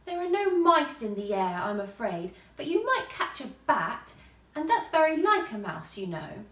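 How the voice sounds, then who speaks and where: Woman as Alice, a high, lilting, curious little girl. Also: a bit bright, echoey room, far from the mic, heavily acted. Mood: earnest, musing. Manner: musing aloud to herself, wondering.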